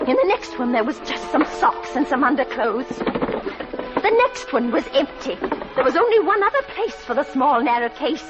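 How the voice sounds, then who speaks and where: A dull old radio recording with music behind an anxious middle-aged landlady. Her high voice swings widely as she speaks in a breathless, dramatic way. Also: sing-song, normal speed. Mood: fearful, urgent. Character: anxious, flustered working-class landlady and mother, middle-aged. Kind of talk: 1940s radio drama acting.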